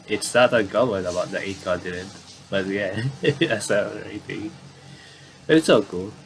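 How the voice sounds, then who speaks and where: Low-voiced man doing noisy game commentary. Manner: stumbles and repeats phrases while reading. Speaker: gaming commentator reading a visual novel aloud. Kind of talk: casual playthrough commentary mixed with reading dialogue aloud.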